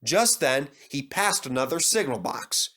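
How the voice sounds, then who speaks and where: Bright, animated male storyteller. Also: mid-range voice, unhurried. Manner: expressive, dramatic reading. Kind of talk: animated scripted children's story narration.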